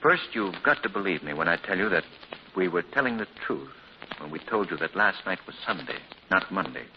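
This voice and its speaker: A suave, assured gentleman detective with a lively, rising and falling delivery. Classic 1940s radio drama acting. The sound is muffled old broadcast with a light hiss. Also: low-pitched, a little fast.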